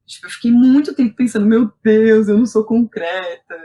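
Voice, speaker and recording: A young Brazilian woman speaking as a podcast guest, telling personal stories in an animated, colloquial way and often checking in with the listener. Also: fairly high pitch, brisk. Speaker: guest, playwright and theatre director. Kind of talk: casual personal storytelling in a podcast interview.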